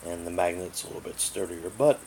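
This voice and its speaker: A man's voice: low voice, brisk, vocal fry, mellow. A man giving a casual hands-on product overview in a rambling, matter-of-fact way, recorded indoors on a tablet microphone. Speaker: product reviewer. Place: living room indoors. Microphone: tablet's built-in microphone. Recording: noisy amateur tablet recording.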